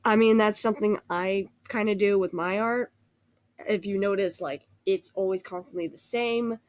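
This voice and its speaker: A woman's voice: medium pitch, fairly even intonation, normal speed, no room sound. A woman who is sick with a cold gives a casual, rambling art critique over a screen recording, hesitating and restarting often. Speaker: art critique video host.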